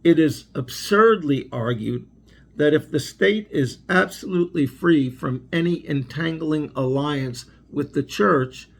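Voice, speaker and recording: A man with a gravelly, husky voice reading a religious address aloud as a lecturer, in a deliberate, emphatic and formal manner. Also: a little high. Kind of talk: read-aloud religious lecture with spoken asides.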